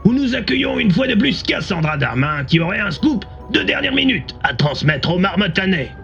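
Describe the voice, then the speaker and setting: Man playing an animated, muffled radio host. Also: sits high, normal speed, vocal fry, faint music behind, heavily acted. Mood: polished, serious. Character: polished, serious male local radio host. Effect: heard through a car radio. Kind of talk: audio fiction, radio presenting. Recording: muffled radio broadcast sound.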